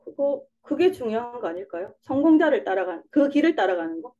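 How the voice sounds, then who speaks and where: A woman's voice: a little high, big pitch swings, a little fast, dark tone, highs cut off. A young woman with a Busan dialect, answering interview questions casually, rambling a little and laughing often. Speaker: interview guest, coaching student.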